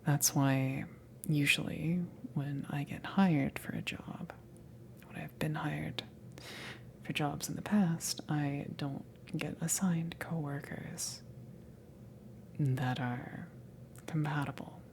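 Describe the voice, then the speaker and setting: A woman playing a flustered, self-conscious alien crewmember in an ASMR roleplay, breathy and stammering, smitten with the listener. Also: sits low, steady tone, a little mellow, slight background noise, full character voice. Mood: awkward, confessional. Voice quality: breathy, creaky, often whispered. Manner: hesitant, stammering, slow, awkward.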